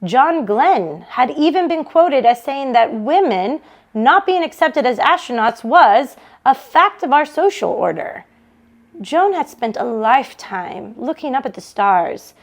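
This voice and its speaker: A woman with a fairly high-pitched voice reads prose fiction aloud. Her delivery is expressive storytelling, shaped like an audiobook narration for a book club. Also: measured pace. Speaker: bookshop read-along reader. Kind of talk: expressive audiobook-style reading of a novel.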